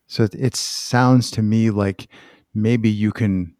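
Low-pitched man interviewing, close dry sound. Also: fairly even intonation, takes it slowly, darkish tone, dry recording. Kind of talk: thoughtful conversational interviewing.

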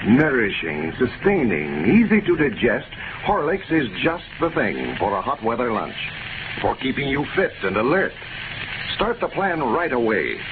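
A man's voice: mid-range voice, very animated, measured pace. A man with an American accent announcing in 1930s radio style, reading scripted copy in a polished, emphatic way. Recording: scratchy, tinny 1930s radio broadcast recording.

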